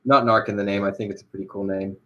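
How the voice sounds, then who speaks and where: A man's voice: fairly low pitch, little pitch movement, quick pace, fairly bright tone, highs cut off. A young man chatting casually on a livestream while he animates. He rambles, with lots of fillers and restarts.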